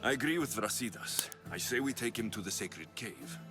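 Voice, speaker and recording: An actor playing a confident, wry mercenary warrior hero, blunt and dry, in a video game cutscene. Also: male voice, fairly low pitch, expressive, moderate pace, music in the background, close to an everyday voice. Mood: firm, matter-of-fact.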